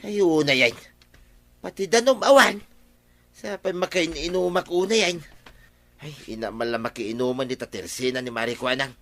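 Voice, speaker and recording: A man's voice: on the high side, lively intonation, moderate pace, long pauses, fairly bright tone. A man with an Ilocano accent playing a thirsty, hardworking village husband who grumbles and exclaims in a comedy radio drama. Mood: grumbling, exasperated.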